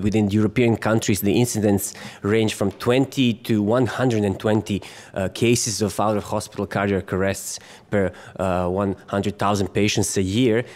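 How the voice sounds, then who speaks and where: A man with a Croatian accent lectures at a medical conference with a fairly low voice. He halts often, filling gaps with "uh" and restarting phrases, and now and then jokes with the audience. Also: darkish tone. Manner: hesitant, many fillers and restarts, jokes with the audience. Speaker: physician lecturer. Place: conference hall.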